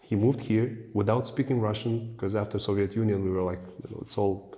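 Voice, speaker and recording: Mellow, low-voiced male podcast host. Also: fairly quick. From Kazakhstan. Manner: lively, anecdotal storytelling with frequent "you know". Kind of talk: casual conversation.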